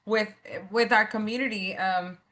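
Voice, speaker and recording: Woman host, bright sharp tone, encouraging, upbeat, video call. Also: medium pitch, fairly even intonation, normal speed. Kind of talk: hosting a video call networking event.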